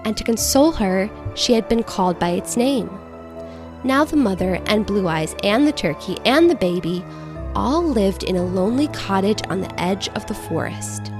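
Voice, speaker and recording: A woman's voice: medium pitch, animated, moderate pace, music in the background. A woman reading a children's fairy tale aloud as scripted storybook narration. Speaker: story reader.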